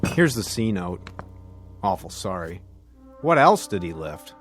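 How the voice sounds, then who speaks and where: A man's voice: low voice, big pitch swings, measured pace, soft background music. An actor playing a blunt, scolding working-class father in a radio drama. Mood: gruff, angry.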